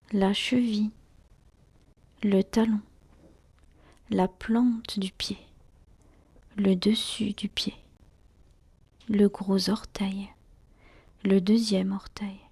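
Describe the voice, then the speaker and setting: A woman guiding a relaxation meditation in a soft, breathy near-whisper, calmly and soothingly, in short phrases with long pauses. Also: mid-range voice. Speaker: yoga nidra guide.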